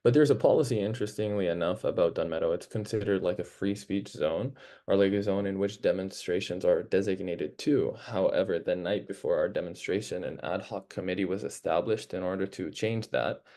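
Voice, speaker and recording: Low, mellow young man from Palestine. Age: young adult. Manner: earnest, halting with fillers and restarts. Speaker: student organizer. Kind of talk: online panel talk. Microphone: video call.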